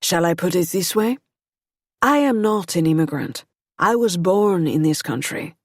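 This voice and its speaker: A woman putting on a suave French-accented nobleman who needles with polite mockery, pitched up and ringing out over her dry narration. The recording is close and dry. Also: animated, unhurried, no room sound. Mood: cool, needling. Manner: cool, mocking courtesy.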